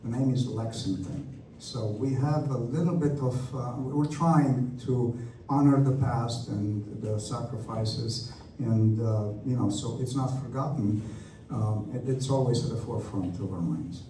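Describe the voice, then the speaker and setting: A man's voice: mid-range voice, a little slow, dark tone, a little hiss or hum. A man with a Romanian accent, an airport representative answering questions at a community meeting in a friendly, talkative, self-deprecating way. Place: church hall meeting room. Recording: echoey hall, speaker far from mic.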